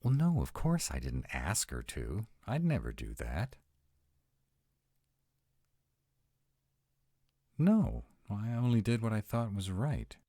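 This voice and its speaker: A man's voice: lowish voice, huge pitch swings, measured pace, mellow, no room sound, studio quality, heavily acted. A man playing a sarcastic, anxious vampire of nearly two hundred in an intimate, conversational roleplay audio. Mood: defensive. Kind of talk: intimate one-sided roleplay audio acting.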